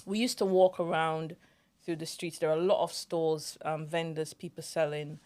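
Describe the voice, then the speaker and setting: A young woman sits with her co-hosts and tells a reflective story from her own life. Her voice sits on the low side. When she quotes people she remembers, she acts out their voices. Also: steady tone, normal speed, a little mellow. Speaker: podcast co-host. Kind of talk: casual storytelling chat.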